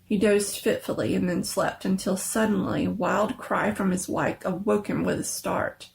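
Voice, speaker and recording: Creaky-voiced woman narrating dramatically. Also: medium pitch, fairly even intonation, moderate pace, darkish tone, a little hiss or hum. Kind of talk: dramatic reading of a scary story.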